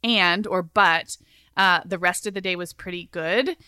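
A woman's voice: fairly low pitch, normal speed, sharp tone, smooth, dry recording, studio quality. A woman co-hosting a podcast, giving advice conversationally and thoughtfully, often spelling things out with example phrasings. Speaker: podcast co-host. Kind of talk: casual conversational advice talk.

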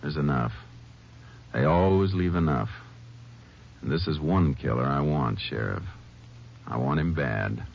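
An actor playing a determined, plain-spoken Texas Ranger in 1950s radio drama style, terse, grave and courteous. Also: male voice, a bass voice, unhurried, vocal fry, full character voice. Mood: grim resolve. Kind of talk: 1950s radio western acting and narration. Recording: muffled, noisy 1950s radio recording.

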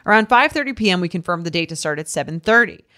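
Lowish-voiced woman reading a letter aloud. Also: expressive, fast, bright, forceful tone. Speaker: podcast co-host. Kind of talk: reading a listener's email aloud, with casual asides.

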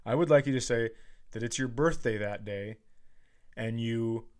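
A man with a medium-pitched voice talks in loose, casual comedic banter. He teases his co-host and spins out hypothetical bits in a conversational podcast style. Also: unhurried, a little mellow. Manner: teasing, riffing on hypotheticals.

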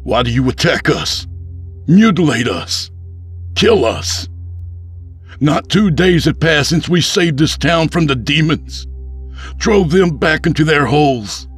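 A young man possessed by a furious witch, acted by a male narrator, delivering vengeful, commanding speech. It is loud and pitched far above plain reading, in a dark fantasy audiobook with faint music. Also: animated, a little slow, breathy edge, full character voice. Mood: anguished fury. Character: wrathful witch speaking through a young man's mouth.

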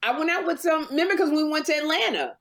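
A woman's voice: high voice, lively intonation, over a noisy crowd. Woman telling a long story in a group chat. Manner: storytelling, drawn-out phrasing.

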